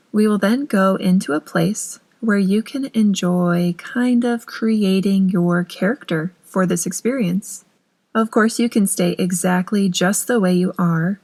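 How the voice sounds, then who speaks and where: Slow-paced woman guiding a meditation. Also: mid-range voice. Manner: soothing, unhurried, gently instructive. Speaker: guided meditation host. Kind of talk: guided meditation narration.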